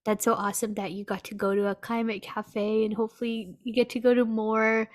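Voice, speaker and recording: A woman's voice: fairly high pitch, level tone, a little fast. A woman hosting a podcast, chatting casually and informally in a supportive interview style. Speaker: podcast host.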